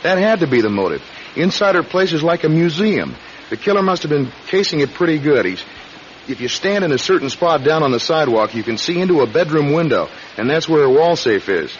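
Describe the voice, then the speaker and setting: An actor playing a conscientious young police officer in a radio drama, earnest and polite. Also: male voice, fairly high pitch, sing-song, brisk. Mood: eager, urgent. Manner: polite, earnest, procedural questioning. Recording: dull radio recording with background hiss.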